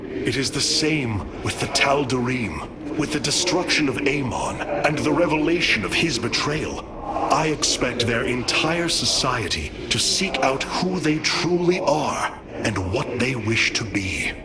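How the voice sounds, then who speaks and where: An actor playing a noble, steadfast alien commander in a video game, speaking in a grave, formal, measured way. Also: male voice, mid-range voice, lively intonation, heavy background noise, natural voice.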